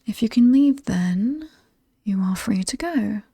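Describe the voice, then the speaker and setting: Woman acting a slow, whispering British demoness. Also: medium pitch, expressive, no room sound, clean studio sound, heavily acted. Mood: menacing, playful. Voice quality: breathy, often whispered, soft. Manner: slow, taunting, teasing. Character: alluring sinister demon woman, mocking mistress of a haunted estate. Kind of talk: horror ASMR roleplay, scripted monologue.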